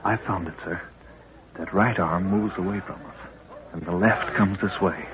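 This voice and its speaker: A man's voice: sits low, fairly even intonation, normal speed, lightly acted. A man acting a loyal, protective young adventurer in a 1930s radio serial, earnest and respectful. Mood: tense, earnest. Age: young adult. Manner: earnest, deferential, reassuring. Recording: muffled, hissy 1930s radio recording.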